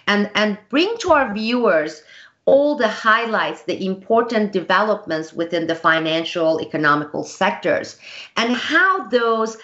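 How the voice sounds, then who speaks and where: A woman hosting an interview, talking in a halting way with many restarts. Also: medium pitch, a bit bright, dull audio. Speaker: interview host. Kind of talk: conversational interview.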